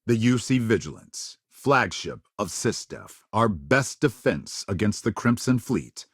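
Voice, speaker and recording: A man's voice: lowish voice, lively intonation, measured pace, slightly rough, no room sound, studio quality. An actor voicing an earnest, polished male news anchor in a video game, with emphatic, dramatic broadcast phrasing. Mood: grave, urgent.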